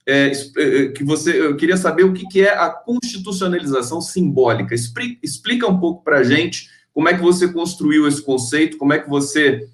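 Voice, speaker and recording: A man's voice: a little high, fairly quick, hardly any pauses, reverberant. A man hosting a live-stream interview, effusive and full of fillers, reading out viewer comments. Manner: effusive, many fillers and restarts.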